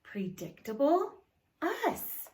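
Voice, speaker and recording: A woman reader plays a mild, cautious husband from a picture book. The lines come very slowly, drawn out and hesitant. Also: high voice, big pitch swings, a little mellow, pitched above the performer's own voice, heavily acted. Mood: puzzled.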